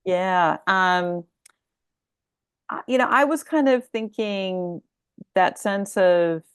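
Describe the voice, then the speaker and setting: A woman speaking as a guest author in a casual, reflective interview, haltingly thinking aloud and repeating words. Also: on the low side, dry recording, studio quality.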